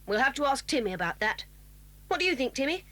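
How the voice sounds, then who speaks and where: A woman's voice: high voice, big pitch swings, fast talker, a bit bright, exaggerated voice. An actress with a British accent playing an eager, polite schoolgirl in a children's audio drama.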